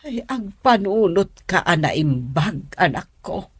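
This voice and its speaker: A woman plays a fretful mother in a radio drama, dispensing advice to her grown daughters. She speaks slowly with swooping pitch and a husky edge. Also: sing-song, no echo, studio quality, heavily acted. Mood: concerned, pleading.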